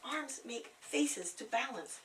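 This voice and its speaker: A woman's voice: sits high, lively intonation, takes it slowly, a bit bright, far from the mic, a little hiss or hum. A woman reciting her own poem in a dramatic, playful way, savouring the words. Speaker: poet reading own work. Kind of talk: theatrical poetry recital.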